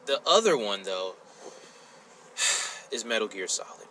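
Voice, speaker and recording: A man co-hosting a casual podcast, talking in a halting, exasperated, sweary way. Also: lowish voice, sing-song, crowd noise, poor audio. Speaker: podcast host. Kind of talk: casual conversational podcast chat.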